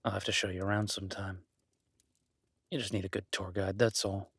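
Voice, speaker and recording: A man's voice: low-pitched, little pitch movement, fairly quick, slightly rough, dry recording, heavily acted. A man playing a teasing, protective woodland cabin host, speaking intimately in an audio roleplay. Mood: playful, reassuring. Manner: teasing, soft-spoken, intimate.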